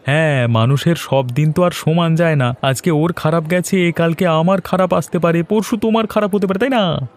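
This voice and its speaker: A man's voice: on the high side, moderate pace, natural voice. A man voicing an earnest, sympathetic, plain-spoken village fisherman in a cartoon. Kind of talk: cartoon dialogue acting.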